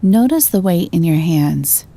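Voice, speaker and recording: A woman gently guiding a meditation, giving slow, soothing instructions. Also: on the low side, animated. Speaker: meditation guide. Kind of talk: guided meditation.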